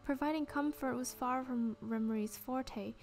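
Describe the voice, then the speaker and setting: A woman's voice: sits high, moderate pace. A young woman reading a visual novel's narration aloud at home in a careful way, with small stumbles. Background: game music.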